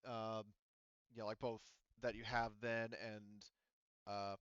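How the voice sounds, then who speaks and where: Low, smooth-voiced man game mastering. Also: little pitch movement, a bit bright, no room sound, lo-fi sound. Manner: halting, explanatory. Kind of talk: running a tabletop roleplaying game.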